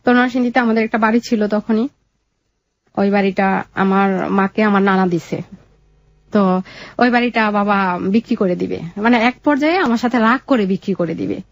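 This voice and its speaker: A young woman with a Bangladeshi accent telling her life story in an interview, in a halting, colloquial way. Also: mid-range voice, no echo, highs cut off.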